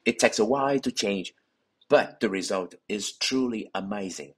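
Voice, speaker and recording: A man narrating a scripted instructional voice-over, explaining technique in steady, slightly non-native phrasing. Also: lowish voice, normal speed, breathy edge. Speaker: bowling coach.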